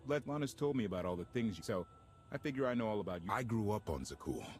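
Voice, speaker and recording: An actor playing a plain-spoken former warship captain in a game cutscene. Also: male voice, on the low side, expressive, fast talker, a little hiss or hum, close to an everyday voice. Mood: serious. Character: blunt former warship captain, earnest man. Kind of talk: video game cutscene acting. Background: game music.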